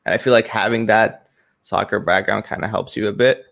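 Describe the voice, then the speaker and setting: A man from Iraq who moved to Canada, chatting casually as an interview guest, relaxed and colloquial with many filler phrases. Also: fairly low pitch, brisk, vocal fry. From Iraq, moved to Canada in 2003. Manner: relaxed, colloquial, frequent 'like' and 'you know'. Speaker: esports pro, interview guest.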